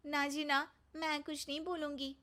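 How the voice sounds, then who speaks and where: Woman as a polite, high-pitched young daughter-in-law. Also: flat delivery, moderate pace, sharp tone. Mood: reluctant.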